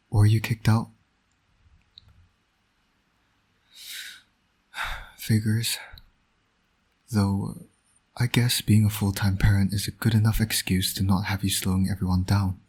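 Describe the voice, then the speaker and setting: A low, husky male voice for a roleplay audio drama , a man acting it out. He is a smug villain who flirts and needles with dry sarcasm, yet shows a fatherly soft side. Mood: taunting. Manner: smug, teasing, sardonic. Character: teasing, flirtatious male supervillain, doting secret father.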